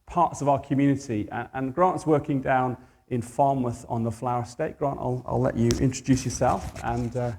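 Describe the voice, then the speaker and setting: A man hosting an event, speaking informally and haltingly as he introduces the next speaker. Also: mid-range voice, steady tone, a little mellow. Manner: hesitant, halting.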